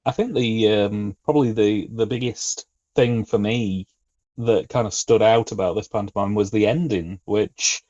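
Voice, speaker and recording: A man chatting about a review in a casual, measured way, with wry understatement. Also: on the low side, steady tone, a little mellow, muffled recording.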